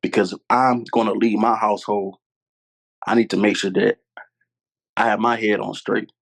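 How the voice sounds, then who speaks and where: Earnest young man, lowish voice. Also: flat delivery, normal speed, vocal fry. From Louisiana, US. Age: 20s. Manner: earnest, plain-spoken, repeats points for emphasis. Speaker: podcast guest. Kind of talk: candid conversational storytelling.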